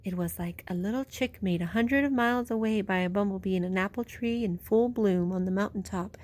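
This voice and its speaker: A woman reading a storybook aloud to children, with expressive, drawn-out storytelling. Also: medium pitch.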